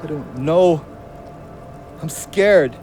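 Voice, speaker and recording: A man's voice: on the high side, very slow, a little mellow, slight background noise. An actor playing a troubled, defensive young man just out of jail, in a naturalistic audio drama. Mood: scared, despairing. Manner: bitter, sarcastic, coarse.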